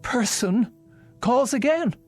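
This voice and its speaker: A woman's voice: fairly high pitch, lively intonation, takes it slowly, faint music behind. An older woman playing an anxious, querulous elderly invalid in a radio drama, speaking formally and insistently. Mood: agitated, insistent.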